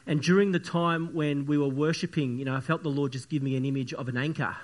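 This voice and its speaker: A man with a croaky, sniffly voice from the flu, preaching a church sermon in an earnest, halting way with many restarts. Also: fairly high pitch, fairly even intonation. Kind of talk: church sermon with opening prayer.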